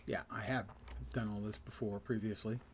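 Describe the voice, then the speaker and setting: A man's voice: fairly low pitch, fairly even intonation, moderate pace, slight background noise. A man casually commentating his video game live as a streamer, talking to himself in a wry way.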